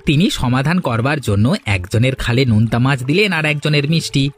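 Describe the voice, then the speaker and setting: A man voicing a steady middle-aged fish seller in a cartoon, measured and persuasive. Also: medium pitch, soft background music, close to an everyday voice. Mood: earnest. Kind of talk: cartoon voice acting.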